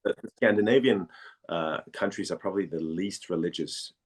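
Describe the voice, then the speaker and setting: A man in a casual interview, telling stories in a chatty, halting way and often rephrasing as he goes. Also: low voice. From Denmark. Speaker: voice actor and model, interview guest.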